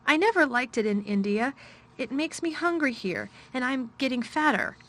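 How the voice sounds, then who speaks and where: A woman's voice: high voice, expressive, moderate pace, fairly bright tone, voice pushed up. A woman voicing a timid, stiff little orphan girl in a storybook reading; she speaks hesitantly and stammers.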